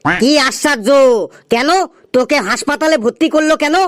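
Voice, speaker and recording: A stern cartoon schoolteacher, put on by a performer speaks in a very high voice, bossy and interrogating. The recording is dry, with no room sound. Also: expressive, moderate pace, fairly bright tone, no echo. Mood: scolding. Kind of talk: comedy cartoon dialogue.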